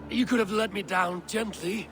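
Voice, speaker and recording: An actor playing a proud, defiant Norse warrior god in a video game, speaking in a formal, grave and measured manner. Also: male voice, high voice, steady tone, music in the background, natural voice. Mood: dry, wary. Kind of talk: video game cutscene acting.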